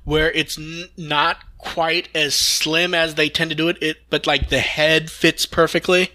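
Enthusiastic podcast guy, kinda high voice, super bright and punchy, rambles through fan news. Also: flat delivery, unhurried. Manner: enthusiastic, rambling, occasionally swearing. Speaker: podcast host. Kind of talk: casual fan news discussion.

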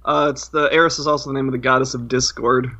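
A man's voice: mid-range voice, steady tone, fast talker, bright, forceful tone, lo-fi sound. A man co-hosting a podcast in casual chat. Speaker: podcast co-host.

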